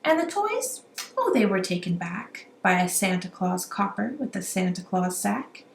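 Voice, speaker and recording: A woman reading a rhyming children's story aloud in an animated, amateur storytelling style. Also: fairly low pitch, creaky. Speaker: amateur children's book reader. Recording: roomy echo, faint background noise.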